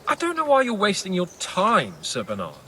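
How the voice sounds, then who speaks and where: A man's voice: sits high, huge pitch swings, normal speed, bright, forceful tone, a little hiss or hum, heavily acted. A man playing a haughty, sneering young medieval nobleman in a video game, with a condescending, taunting manner. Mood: contemptuous. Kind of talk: video game cutscene acting. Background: faint background music.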